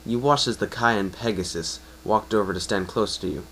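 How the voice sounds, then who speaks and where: A man's voice: lowish voice, moderate pace, a bit bright, slight background noise. A man reading fan fiction aloud as a dramatic second-person narration, now and then tripping over phrases. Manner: stumbles and rereads phrases.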